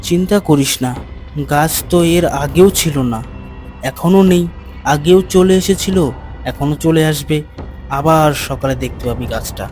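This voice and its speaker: A man's voice: on the high side, moderate pace, a little mellow, faint music behind, close to an everyday voice. A man voicing a bewildered, kindly village father in a cartoon moral tale, questioning and reassuring. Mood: resigned, reassuring. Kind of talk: cartoon dialogue.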